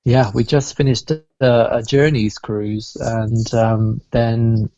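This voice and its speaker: A man speaking as a guest in a casual interview, reflective and quick to laugh, heard over a dull remote call. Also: mid-range voice, little pitch movement, mellow, dry recording. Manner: reflective, laughs often, restarts phrases. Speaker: cruise entertainment director, guest.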